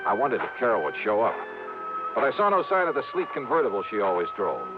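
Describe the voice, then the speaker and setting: An actor playing a tough, wry insurance investigator in a radio noir drama, brisk and hard-boiled, with an American accent. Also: male voice, mid-range voice, sing-song, music in the background. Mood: dry narration. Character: wry, tough freelance insurance investigator narrating his case. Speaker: lead detective and narrator. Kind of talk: 1950s radio noir acting. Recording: tinny, noisy old radio recording.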